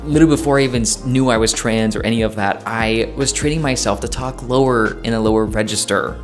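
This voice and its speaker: A man's voice: medium pitch, brisk, faint music behind. A man in his twenties talking casually to the camera, chatty and playful, with plenty of fillers and self-corrections. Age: 20s. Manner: playful, jokey. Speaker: vlogger.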